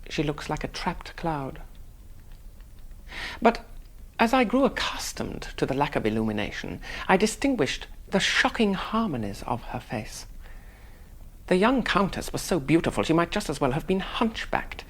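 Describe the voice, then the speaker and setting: An actor playing a wry, eloquent young English gentleman hero, acting and narrating in a seventies radio drama. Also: fairly high pitch, moderate pace. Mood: fascinated narration. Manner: wry, articulate, animated.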